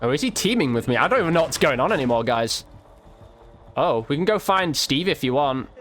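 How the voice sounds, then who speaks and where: Male, gaming video host, slightly high voice, casual commentary, chatty, informal, talks to viewers. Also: animated, brisk, a bit bright, slight background noise.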